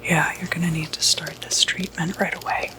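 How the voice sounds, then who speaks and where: Woman doing a soothing demon-expelling doctor for asmr, hushed whisper, lowish for a lady, takes it really slow. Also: flat delivery. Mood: calm, reassuring. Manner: hushed, unhurried, hesitant, soothing. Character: gentle, reassuring doctor who treats demonic possession. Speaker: ASMR roleplay performer. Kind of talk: whispered ASMR medical roleplay.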